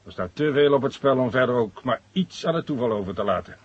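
A stern police inspector in a 1970s radio drama. The voice is husky and mid-pitched, full of sarcasm and reproach as he hands out orders. The old recording sounds a little muffled. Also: expressive, fast. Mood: sarcastic, reproving. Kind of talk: 1970 radio drama acting.

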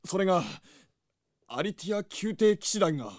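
High-voiced nervous messenger soldier. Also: male voice, lively intonation, normal speed, dry recording, clean studio sound. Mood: anxious, deferential. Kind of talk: Japanese fantasy audio drama acting.

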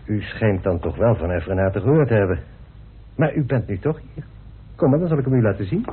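A man's voice: fairly low pitch, animated, brisk, breathy edge. An actor playing a self-assured, talkative, persuasive man in a radio drama. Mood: measured, polite. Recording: muffled, narrow-band old radio recording.

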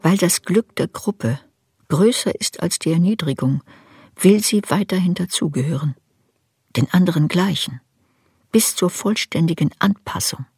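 A woman playing an older writer looking back on her youth, narrating a literary radio drama in a reflective, deliberate way. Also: lowish voice, a little mellow, husky, heavily acted.

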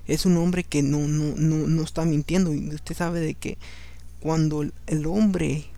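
Man, spanish native, bible podcast host, raspy fry, monotone delivery, casual asides. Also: on the high side, measured pace. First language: Spanish, English second. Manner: conversational, slips English words in. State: tired late at night. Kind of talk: reading scripture aloud with informal commentary.

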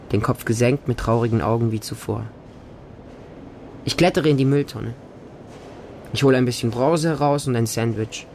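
A man's voice: medium pitch, vocal fry, darkish tone, a little hiss or hum, lightly acted. A man playing a small, wary homeless boy who narrates his hungry street life in short, simple sentences for a radio drama. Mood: watchful, sad. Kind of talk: radio drama monologue in present tense.

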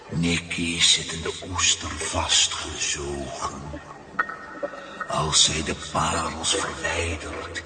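An actor playing a solemn, portentous keeper of riddles in a children's radio play. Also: male voice, a bass voice, full character voice. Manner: slow, portentous delivery. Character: solemn, ominous male keeper of oyster riddles. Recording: echoing, over loud music.

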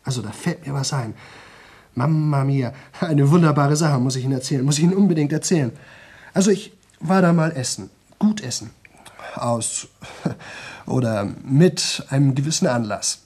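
A young man on a manic talking marathon in a 1970 radio play. He has a mid-pitched voice and rambles on in chatty loops. He repeats himself and drifts into singsong. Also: moderate pace, a little mellow, husky. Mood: chatty, self-satisfied.

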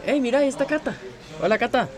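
An actor playing a caring, eager young friend in a radio drama, with a Costa Rican accent and an earnest, persuasive manner. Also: male voice, extremely high pitch, animated, fast talker.

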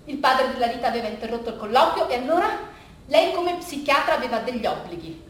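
A woman performing a theatrical monologue, telling a story dramatically with halting repetitions. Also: high-pitched, lively intonation. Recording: very echoey room with faint noise.

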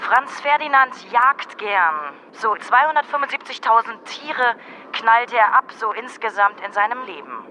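An actress playing a narrator in an audio drama, stating facts in a colloquial way. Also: female voice, fairly high pitch, animated, unhurried, sharp tone, a little hiss or hum. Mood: matter-of-fact.

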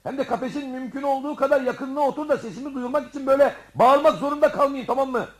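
An actor playing a vain, self-important man in a radio comedy, calling out loudly and pompously. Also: very high-pitched, rapid-fire. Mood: self-important, bossy. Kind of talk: radio theatre comedy acting.

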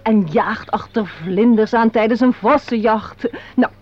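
Muffled old radio play: actress as high-voiced chatterbox receptionist, excitable, fussy and teasing. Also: female voice, measured pace, soft background music, close to an everyday voice. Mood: fussing, teasing. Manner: exclamatory, teasing, theatrical. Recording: dull, noisy old radio recording.

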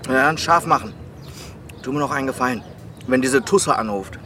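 A man's voice: medium pitch, normal speed, pushed and bright, slight background noise, natural voice. A man playing a detective's blunt, talkative buddy in a crime radio drama, colloquial and needling.

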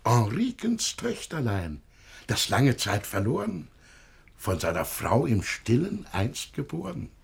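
A man's voice: medium pitch, huge pitch swings, slow, vocal fry, slightly rough, full character voice. An actor playing a hearty, self-assured old father, declaiming theatrical rhymed verse in a radio play. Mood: earnest.

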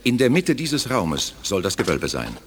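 A man playing a dutiful, alert teleporter on a mission in a German audio drama, his voice husky. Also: on the low side, wildly swooping pitch, normal speed, a little hiss or hum. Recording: long cavernous reverb.

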